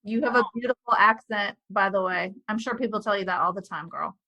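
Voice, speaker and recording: A woman's voice: mid-range voice, brisk, sharp tone, poor audio. A woman hosting a podcast, talking casually and gushing with praise for her guest. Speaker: podcast host.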